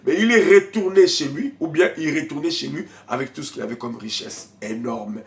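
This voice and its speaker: A man's voice: fairly high pitch, fairly quick. Preaching man with a cold. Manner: swings from shouted preaching to quiet murmuring asides; speaks in tongues in bursts. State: has a cold, coughing. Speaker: pastor. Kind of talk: sermon.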